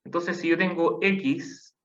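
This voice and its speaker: A man's voice: fairly high pitch, steady tone, slow, a bit bright. A man teaching a math class online, patiently counting aloud and asking the class questions. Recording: dull lo-fi online class audio.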